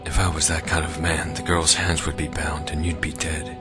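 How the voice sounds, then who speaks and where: An actor playing a gruff, guarded guardian in a fantasy game, terse, menacing and world-weary. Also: male voice, a bass voice, little pitch movement, normal speed, over loud music. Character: gruff, guarded male guardian, wary and threatening. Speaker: video game character. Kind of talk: scripted fantasy game dialogue.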